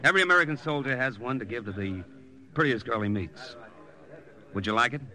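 An actor in a 1940s radio drama playing a young American soldier with a gentle, coaxing manner. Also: male voice, mid-range voice, expressive, fairly quick. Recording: old-time radio recording.

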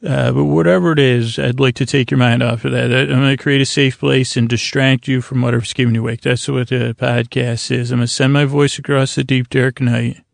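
A man hosting a bedtime podcast, rambling in a slow, languid, gentle and reassuring way with long, meandering pauses. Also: mid-range voice, steady tone, creaky, no room sound, studio quality. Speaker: podcast host.

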